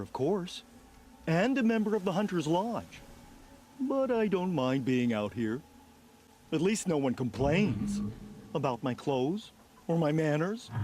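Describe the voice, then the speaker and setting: An actor voicing a quirky, chatty outcast hunter in a video game, with an eccentric, theatrical manner. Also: male voice, a little high. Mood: chatty, defensive. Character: quirky, chatty, self-conscious outcast hunter running trials. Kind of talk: video game character acting. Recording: game music behind the voice. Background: game music.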